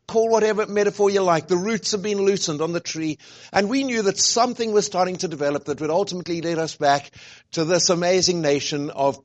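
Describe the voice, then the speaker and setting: A middle-aged man with a fairly high voice delivers a relaxed guest sermon. He talks in a chatty, joking way, full of little fillers and restarts. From grew up where rugby is played. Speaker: guest speaker, college president. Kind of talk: informal sermon talk.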